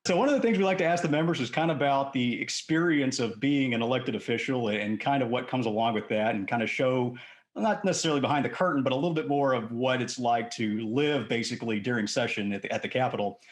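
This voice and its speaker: A man in his thirties with a medium-pitched voice. He speaks as a podcast host, in casual interview chat. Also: quick pace, roomy sound.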